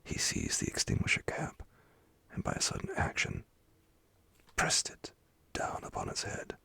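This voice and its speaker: A man reads a story in a soothing, breathy ASMR whisper, close to the mic. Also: measured pace, dry recording. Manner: soothing, hushed, expressive reading. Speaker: storyteller, narrator. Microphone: close microphone, dry.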